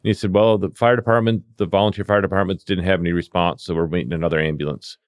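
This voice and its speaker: Low-voiced man telling field stories. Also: steady tone, fast, clean tone, no echo, clean studio sound. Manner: acts out exchanges with quoted dialogue. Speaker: paramedic and CPR instructor telling field stories. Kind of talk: animated anecdotal storytelling in conversation.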